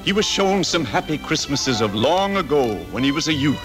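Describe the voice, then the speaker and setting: A man's voice: a little high, big pitch swings, normal speed. A man playing the storybook narrator of a Christmas tale in old-time radio style. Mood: storytelling. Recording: old radio broadcast with music behind.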